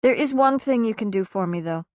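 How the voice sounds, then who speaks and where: A woman reads a worn-out mother in a storybook, soft-spoken but firm. She sounds tired and resolved. The voice is dry and close. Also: fairly high pitch, lively intonation, brisk, dry recording, pitched above the performer's own voice, natural voice.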